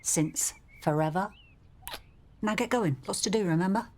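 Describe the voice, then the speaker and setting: A woman's voice: medium pitch, moderate pace, a little mellow, close to an everyday voice. Flirtatious middle-aged landlady, played by a woman. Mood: flirty teasing. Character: flirtatious, glamorous, confident middle-aged English pub landlady. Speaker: actor in a radio soap. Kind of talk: naturalistic radio drama acting.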